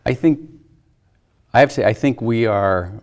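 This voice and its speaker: A man's voice: mid-range voice, normal speed, darkish tone. A man in a casual discussion, giving his opinions hesitantly and circling before he lands on a point. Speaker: film discussion panelist.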